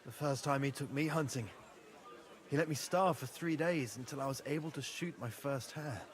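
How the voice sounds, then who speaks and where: A man's voice: mid-range voice, moderate pace, close to an everyday voice. An actor playing a dutiful, proud young nobleman, a younger son, speaking formally and earnestly in medieval game dialogue.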